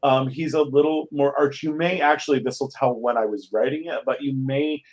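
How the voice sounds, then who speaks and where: Mid-pitched man, thoughtfully explaining. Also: fairly even intonation, brisk. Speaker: guest playwright. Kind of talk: casual interview chat.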